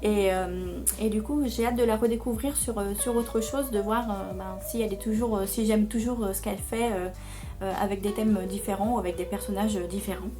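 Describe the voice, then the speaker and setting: A young woman talking casually about books, pausing often and filling gaps with hesitant sounds. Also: medium pitch, steady tone, music in the background. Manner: hesitant, many fillers. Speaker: book vlogger. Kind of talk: casual book chat.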